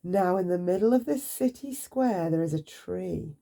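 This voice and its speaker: A woman narrating a children's bedtime story aloud, with deliberate, expressive phrasing for young listeners. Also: on the low side, vocal fry.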